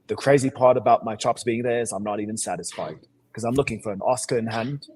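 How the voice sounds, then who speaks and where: A man's voice: mid-range voice, fast talker, darkish tone, slight background noise. A man from Sierra Leone who grew up in Seattle, speaking with a put-on British accent as an actor being interviewed, confident, emphatic and boastful in slangy talk. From born in Sierra Leone, grew up in Seattle. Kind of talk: animated self-promotional interview talk.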